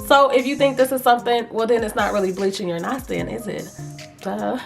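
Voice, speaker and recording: Female beauty vlogger, highish voice with a froggy rasp, informal and playful chat, music underneath. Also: fairly even intonation, a little fast. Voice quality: slightly raspy, froggy. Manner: chatty, informal, playful asides. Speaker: vlogger reviewing wigs. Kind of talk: casual chat to viewers, beauty review.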